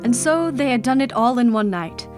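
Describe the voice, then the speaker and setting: Casting: female storybook narrator for radio drama, higher register, over prominent music. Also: expressive, normal speed. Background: loud music.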